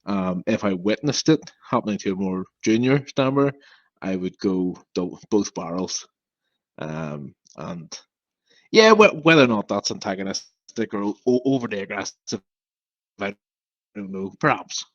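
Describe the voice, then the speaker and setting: A man's voice: lowish voice, animated, a little slow, no echo, highs cut off. A young man from Northern Ireland, a podcast guest who stammers, talking bluntly and frankly. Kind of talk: casual interview talk.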